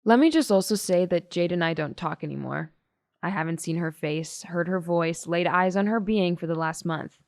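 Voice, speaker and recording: An actress playing a blunt, bitter high school girl giving guarded testimony in a naturalistic audio drama. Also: normal speed, no room sound, clean studio sound, lightly acted. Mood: defensive. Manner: guarded, curt, sardonic.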